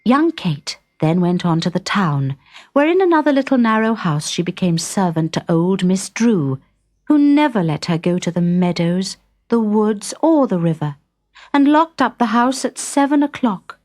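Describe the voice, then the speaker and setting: A woman narrating a children's story in a clear, deliberate, expressive manner. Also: medium pitch.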